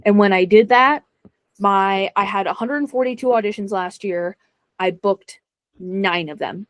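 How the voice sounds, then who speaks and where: A woman's voice: mid-range voice, a little slow, no room sound. A young adult woman chatting as an interview guest, self-deprecating and laughing often. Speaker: guest actor. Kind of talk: casual interview chat.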